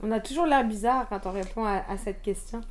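A woman in her thirties from France, a teacher, answering interview questions in a soft, hesitant way with many fillers. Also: medium pitch, a little fast. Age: 30s. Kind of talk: casual interview chat.

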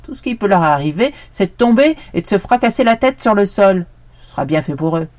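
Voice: medium pitch, expressive, fairly quick, darkish tone, dry recording, voice pushed up, natural voice. A storyteller voicing a proud, bearded old billy goat who brushes off a plea with scorn. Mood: scornful. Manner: bleating, haughty and dismissive.

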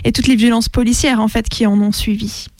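A woman's voice: a little high, steady tone, fairly quick, darkish tone, dry recording. A woman co-hosting a live radio show in a casual, conversational way. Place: radio studio.

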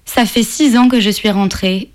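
A woman's voice: mid-range voice, moderate pace, background noise. A woman narrating a personal story in a wry, playful voice-over.

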